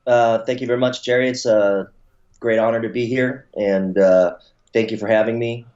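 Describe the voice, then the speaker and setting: A man's voice: mid-range voice, steady tone, normal speed, darkish tone. A man in his forties, born in Taiwan and raised in the United States, telling his story in a rambling way as an interview guest, with many fillers and restarts. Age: 40s. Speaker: interview guest, martial arts promoter. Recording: dull lo-fi sound.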